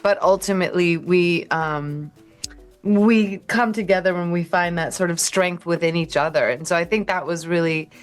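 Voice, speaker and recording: A woman's voice: lowish voice, normal speed, soft background music. A woman talking casually as an actress being interviewed.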